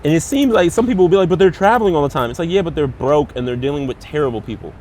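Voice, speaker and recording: A man's voice: on the high side, rapid-fire, a little mellow, a little hiss or hum. A male comedian hosting a casual street chat, trading crude, slang-heavy jokes. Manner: crude joking banter with slang. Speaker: comedian host. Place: outdoors on a city street.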